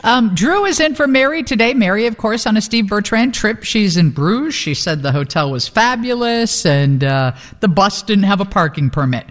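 A woman hosts a radio show with chatty, frank banter. Her voice sits in a middle pitch, and she talks casually. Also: animated, long reverb tail. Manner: chatty, frank, quick to laugh.

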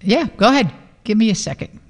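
A woman's voice: medium pitch, animated, in a large hall. Chatty woman radio host. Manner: chatty, frank, quick to laugh. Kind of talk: casual radio talk.

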